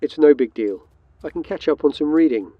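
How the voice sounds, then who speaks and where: A man's voice: fairly high pitch, lively intonation, brisk, no echo, muffled recording. A man hosting a game demo, delivering scripted narration and role-play commands as a spaceship commander. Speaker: video host and game commander.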